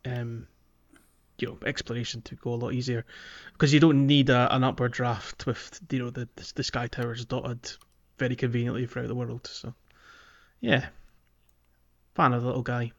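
Male podcast host, mid-pitched, casual gamer chat with meandering, hesitant explanations, slightly lo-fi sound. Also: flat delivery, normal speed. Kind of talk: casual video game discussion.

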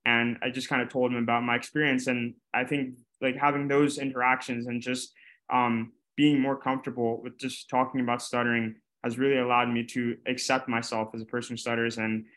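A man's voice: mid-range voice, level tone, fairly quick, a bit bright, rough recording. A young man, a student guest in an interview, speaking openly and with frequent stuttered repetitions and blocks. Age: young adult. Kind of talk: interview answers.

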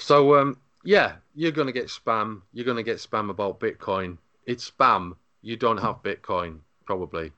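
Male podcast host, lowish pitch, dry sound, conversational and wry tech presenting. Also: fairly bright tone, dry recording, highs cut off.

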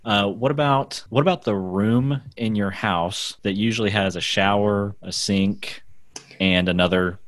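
A man's voice: fairly low pitch, unhurried, no echo. A man hosting a podcast, who quizzes his guest in casual chat with dry humor. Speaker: podcast host.

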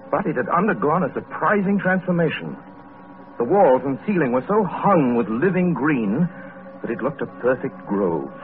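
A man's voice: mid-range voice, lightly acted. A man narrating a literary story dramatically on old-time radio, in a flowing, declamatory manner. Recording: tinny old radio, faint music.

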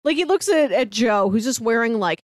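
A woman's voice: fairly high pitch, animated, moderate pace, no room sound, clean studio sound. A woman co-hosting a casual film chat podcast, talking in a wry, rambling, jokey way. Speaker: podcast co-host. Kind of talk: casual chat about films.

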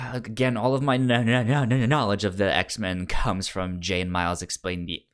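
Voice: mid-range voice, steady tone, moderate pace. A podcast co-host chatting casually about comics, with a stammer that often repeats sounds and words.

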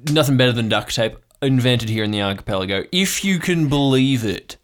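A rambling, blustery old-salt uncle acted by a man in an improvised audio drama. He sits at a middle male pitch, tinkers with boats and wanders off into paranoid tangents. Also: animated, brisk, close to an everyday voice. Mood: practical, paranoid. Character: eccentric conspiracy-minded uncle, chatty boat-owning tinkerer.

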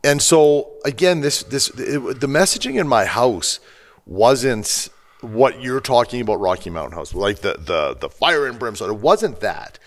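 A man's voice: medium pitch, very animated, unhurried. A man with a Canadian accent hosting a podcast, chatting casually and agreeably with his guest. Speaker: podcast host. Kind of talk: casual interview chat.

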